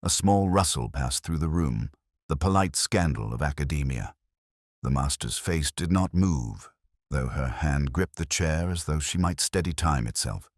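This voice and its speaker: Very deep-voiced man narrating a mystery. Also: expressive, measured pace, no echo, clean studio sound. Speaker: audio drama narrator. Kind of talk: scripted first-person narration of a detective mystery.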